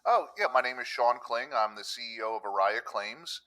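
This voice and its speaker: A middle-aged man from Pennsylvania chats as a podcast guest in a clear, bright voice of ordinary pitch. He talks in an easygoing, storytelling way and acts out the people he quotes. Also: lively intonation, normal speed. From Pennsylvania, USA. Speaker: public adjuster, podcast guest. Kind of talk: conversational interview. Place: room with a countryside backdrop.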